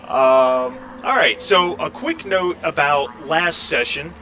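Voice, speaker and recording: A man's voice: a little high, big pitch swings, slow pace, sharp tone. A man hosting a tabletop roleplaying game as game master, talking casually in an encouraging, explanatory way.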